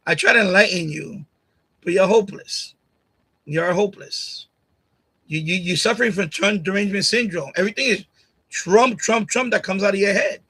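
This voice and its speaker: A man's voice: sits high, moderate pace, pushed and bright, breathy edge, poor audio. A man from Puerto Rico hosting a casual live stream, arguing bluntly with commenters, repeating himself and laughing often. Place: home streaming setup. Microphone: USB condenser mic on boom arm.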